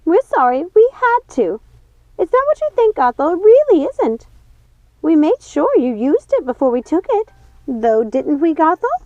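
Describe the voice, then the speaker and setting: Shrill, frantic witch sister. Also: big pitch swings, moderate pace, a little mellow, no echo. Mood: frantic, wheedling. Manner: shrill, repetitive pleading. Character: frantic, pleading wicked witch sister, fretful and wheedling. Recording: dull lo-fi sound, music underneath.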